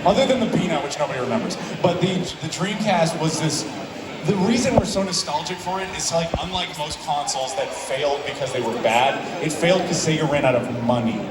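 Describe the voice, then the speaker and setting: A man's voice: a little high, animated, a little fast, a loud crowd. Man arguing eagerly with stammered restarts. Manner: enthusiastic, many restarts. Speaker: panelist. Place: large convention hall, live panel. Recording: echoey hall from far off, loud noise.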